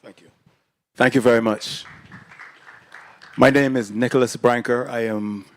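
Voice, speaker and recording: A man who grew up in Barbados, speaking as a panelist in a slow, deliberate way at a public conversation. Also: mid-range voice, steady tone, no room sound. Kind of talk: panel discussion talk. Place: conference hall panel.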